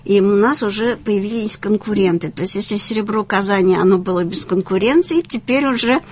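A woman's voice: mid-range voice, brisk, breathy edge. A woman in her sixties from Tatarstan in Russia, talking enthusiastically and at length in a conversational interview as a guest. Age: 60s. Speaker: senior volunteer, interview guest.

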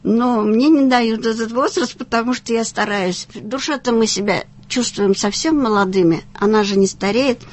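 A woman's voice: fairly high pitch, normal speed, hardly any pauses. A woman in her sixties from Tatarstan in Russia, talking enthusiastically and at length in a conversational interview as a guest. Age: 60s. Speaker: senior volunteer, interview guest.

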